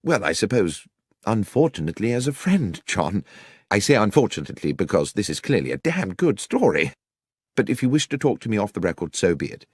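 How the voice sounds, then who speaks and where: A man with a British accent voicing an anxious, friendly Victorian gentleman journalist in an audiobook, earnest and genteel. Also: fairly low pitch, big pitch swings, fairly quick, slightly rough, no room sound, studio quality. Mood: confiding.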